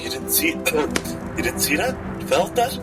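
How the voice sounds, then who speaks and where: A man playing a tabletop game in character, excitable and stammering as he reacts. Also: fairly high pitch, takes it slowly, over loud music. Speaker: player.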